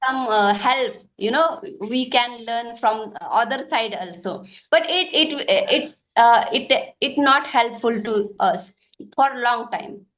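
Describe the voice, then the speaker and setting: A woman's voice: fairly high pitch, a bit bright. Hesitant woman, muffled sound. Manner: halting, searches for words, many fillers. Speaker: homemaker learning English. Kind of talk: casual conversation practice.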